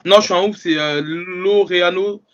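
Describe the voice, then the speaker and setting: Man, podcast co-host, high bright voice, scattered self-correcting banter, lo-fi sound. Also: fairly even intonation, normal speed. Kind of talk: casual banter.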